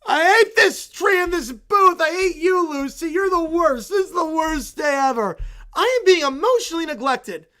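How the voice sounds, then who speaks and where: A man voicing a group of entitled, whining cartoon kids who complain dramatically and shout. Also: very high-pitched, expressive, unhurried, gravelly, heavily acted. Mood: whiny.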